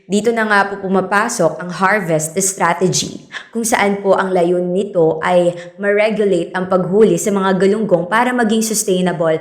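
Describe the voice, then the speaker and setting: A woman's voice: mid-range voice, close to an everyday voice. An actress as a courteous woman speaker in a roomy space. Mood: patient, courteous. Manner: measured, courteous, explanatory. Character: polite, patient woman fisheries speaker at village forum. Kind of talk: radio drama dialogue.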